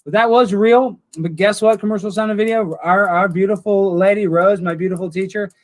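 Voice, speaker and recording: A man's voice: sits high, fairly even intonation, normal speed, dry recording. A man hosting a livestream, chatting with his viewers in a goofy, rambling way. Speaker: livestream host. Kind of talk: casual livestream chat.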